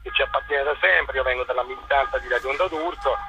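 A man with a husky voice, speaking as a journalist in a radio interview, with insistent, rhetorical, halting phrasing. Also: mid-range voice, music in the background. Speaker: journalist and stage narrator, interview guest. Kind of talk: impassioned phone interview about a stage show. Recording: dull, lo-fi telephone line.

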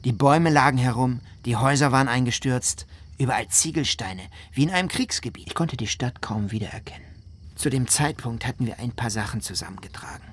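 A man's voice: mid-range voice, a little fast, husky, close to an everyday voice. An actor playing a weary, indignant husband from New Orleans who survived a flood, telling his story as radio drama testimony. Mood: weary, recounting. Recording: faint noisy ambience behind the voice.

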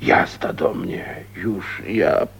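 A man's voice: low voice, extremely slow, vocal fry, a bit bright, breathy edge, exaggerated voice. An actor in a radio play voicing a blunt, kind-hearted older working man with folksy, hearty speech. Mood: gruff gratitude. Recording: dull, noisy radio drama recording.

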